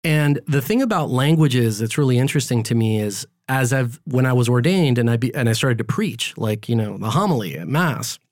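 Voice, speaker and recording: A priest hosts a relaxed conversation in a mid-range male voice. He talks a lot and tells his stories with lively energy. The recording is dry and close-miked. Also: medium pitch, a little fast, hardly any pauses, dry recording. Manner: talkative, animated storyteller. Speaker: priest and podcast host. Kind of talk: casual interview chat.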